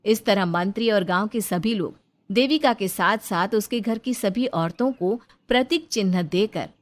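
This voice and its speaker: A woman reading scripted narration for a story. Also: mid-range voice.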